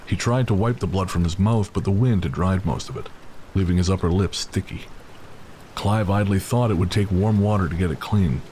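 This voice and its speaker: A man narrating a scripted audio drama in a measured, literary storytelling manner. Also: deep voice, dark tone, a little hiss or hum, rough recording. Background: music and rain.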